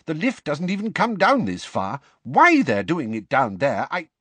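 A harried middle-aged wizard official, urgent and out of breath, his voice pushed up high and energetic, as a male narrator plays him. His pitch leaps around, and the audio is dry and close. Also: measured pace, slightly rough, no echo, exaggerated voice. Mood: urgent, breathless.

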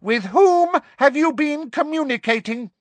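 A man's voice: very high-pitched, animated, a little slow, dry recording, pitched above the performer's own voice. A man voicing a cruel, imperious female school official in an audiobook story, with a formal, sneering and overbearing manner. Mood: furious, commanding.

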